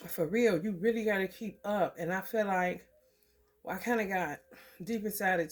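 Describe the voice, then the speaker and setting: A woman from the American Midwest giving a loose, profane tarot reading. Her throat is scratchy from allergies, and she keeps clearing it and laughing between thoughts. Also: mid-range voice. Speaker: tarot reader. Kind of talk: casual spiritual reading to camera.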